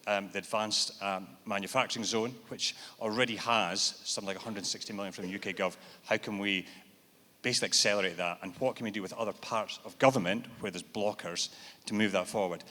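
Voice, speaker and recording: Man, panel speaker, very low pitch, bright tone, plain businesslike manner, reverberant hall. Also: fairly even intonation, brisk. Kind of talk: conference panel answer. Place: large event hall.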